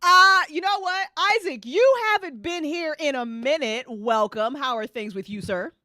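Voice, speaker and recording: A woman hosting a casual after-show chat, excitable and playful, often repeating herself. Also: high voice, normal speed, no room sound, clean studio sound. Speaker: host.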